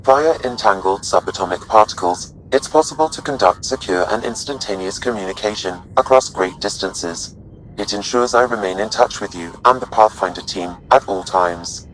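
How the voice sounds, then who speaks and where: A man performs a calm shipboard AI from a sci-fi game, low in pitch and courteous. Every phrase is measured, formal and precise. A faint rumbling noise sits behind the voice. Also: natural voice, low-quality recording. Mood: calm, explanatory. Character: calm, courteous male artificial intelligence aboard a spaceship. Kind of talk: video game voice acting.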